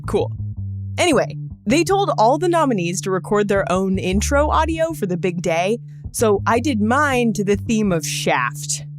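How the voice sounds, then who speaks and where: A woman's voice: mid-range voice, sing-song, measured pace, over music. A woman hosting a podcast, reading scripted narration in a conversational storytelling style with wry, joking asides. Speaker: podcast host.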